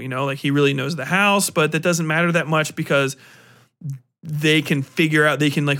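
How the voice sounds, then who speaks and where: Male podcast host, slightly high voice, loose and rambling delivery, animated, swears freely, slangy everyday talk. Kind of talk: casual rambling film commentary.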